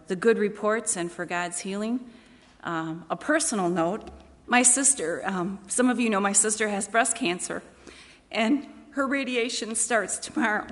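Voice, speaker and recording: A woman church leader speaking in a plain, conversational way to a congregation during announcements and prayer. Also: medium pitch. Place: large church meeting hall. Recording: echoey hall with long reverb.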